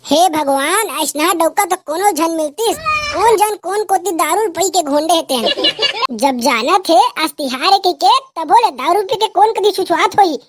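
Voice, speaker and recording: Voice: animated, normal speed, soft background music. A performer voicing a nagging, exasperated village mother in a comedy cartoon, scolding in a Chhattisgarhi accent. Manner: scolding, shrill complaining. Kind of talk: comedy cartoon voice acting in rural dialect.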